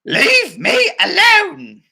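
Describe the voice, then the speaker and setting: A man voicing a grumpy old grandmother in a storybook, shouting in drawn-out exasperation. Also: extremely high pitch, big pitch swings, gravelly, voice pushed up, heavily acted. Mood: furious shout.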